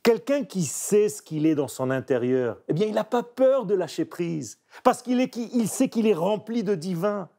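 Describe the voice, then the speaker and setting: A man's voice: high-pitched, vocal fry. A man, a painter speaking French as a second language, reflecting emphatically in an interview. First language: not French. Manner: animated, emphatic, searching pauses. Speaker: painter guest.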